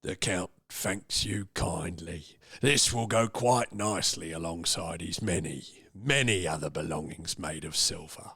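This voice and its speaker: A man playing a creepy, courteous Hungarian count with a gravelly voice and a slow, ominous, formal manner in a radio theatre comedy. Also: fairly bright tone, no room sound, full character voice. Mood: drily polite. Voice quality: low, gravelly. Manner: slow, ominous, formal, speaks of himself in third person. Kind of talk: old-time radio theatre comedy acting.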